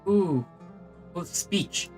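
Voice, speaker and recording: A woman's voice: lowish voice, expressive, very slow. A woman streaming a game with casual, playful muttered reactions over game music.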